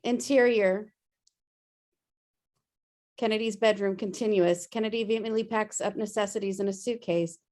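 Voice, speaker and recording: A woman's voice: mid-range voice, steady tone, moderate pace, pauses often, darkish tone. A woman narrating a screenplay's stage directions at a table read.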